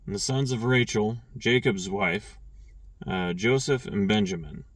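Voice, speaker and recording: A man reading scripture aloud, stumbling and restarting on names and clearing his throat now and then. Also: lowish voice, a little slow, no room sound, recorded from a distance. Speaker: scripture reader.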